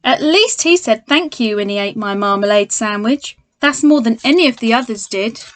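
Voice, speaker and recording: A polite young boy bear, read by a woman with a fairly high voice. He is earnest and hungry, straight out of a children's storybook. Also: lively intonation, normal speed, slight background noise, natural voice.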